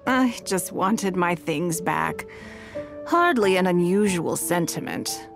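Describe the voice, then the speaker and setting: A woman voicing a calm, shrewd, wealthy noblewoman in a game, speaking in a measured, commanding way, with music behind her. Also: lowish voice. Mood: reflective. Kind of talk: game dialogue voice acting.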